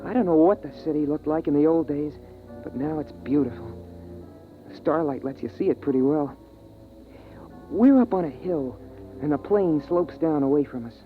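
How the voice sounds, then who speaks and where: Earnest young boy played by a man, higher-pitched, homespun storyteller of a bleak world, vintage radio sound with music. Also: normal speed, pauses often, phone-quality sound, close to an everyday voice. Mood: wondering. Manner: plain, homespun, boyish phrasing. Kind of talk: 1950s radio drama acting and first-person narration.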